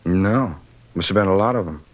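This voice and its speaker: A man's voice: sits low, normal speed, natural voice. An actor playing a weary, defensive married man held as a suspect in a 1950s radio drama, grumbling in a sullen, colloquial way. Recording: dull vintage radio recording.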